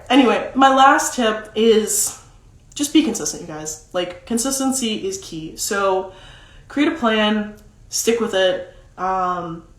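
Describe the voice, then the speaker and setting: A young woman with a deeper voice, chatting casually on a livestream as a content coach, with lots of "like" fillers. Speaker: social media content coach. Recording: roomy echo.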